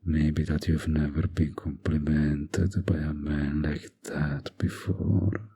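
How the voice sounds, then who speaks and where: A man playing a flirty, manipulative fantasy villain in a romantic audio roleplay, teasing and insinuating in a husky, breathy voice. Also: a bass voice, flat delivery, dark tone, full character voice. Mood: seductive, probing. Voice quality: husky, breathy, often near a whisper. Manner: slow, teasing, seductive, insinuating.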